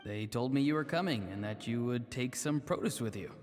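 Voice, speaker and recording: A man voicing a gentle, earnest young villager in a video game. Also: medium pitch, animated, brisk, natural voice. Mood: polite, calm. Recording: long reverb, faint music behind.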